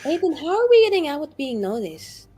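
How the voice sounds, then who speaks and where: A woman's voice: sits high, very animated, brisk, dark tone. A woman talking informally as a player in a tabletop roleplaying game, improvising in loose, everyday phrasing. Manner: informal, peppers talk with "like". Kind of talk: casual improvised game talk.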